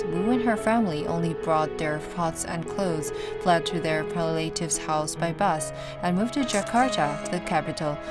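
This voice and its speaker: A woman reading an English voice-over translation for a documentary report, in an even, reading-style delivery. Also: lowish voice. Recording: voice-over with loud background music.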